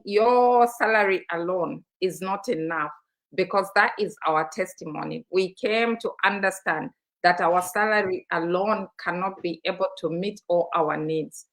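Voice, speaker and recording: A woman's voice: mid-range voice, a bit bright. Persuasive woman from Zambia co-hosting. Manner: enthusiastic, persuasive, many fillers. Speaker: co-host. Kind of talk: live-streamed motivational talk show. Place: studio.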